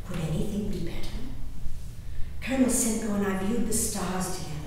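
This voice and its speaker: An actress plays a spirited, genteel colonial lady performing her diary on stage. Her voice is fairly high and very animated, with prim, old-fashioned phrasing and dramatic pauses. It carries through a strongly reverberant hall. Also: close to an everyday voice. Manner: prim, emphatic, savoring pauses, old-fashioned formal diction. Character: genteel, spirited, haughty English colonial gentlewoman diarist. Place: indoor hall, live performance recorded from a distance. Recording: roomy echoing hall, distant mic, faint noise.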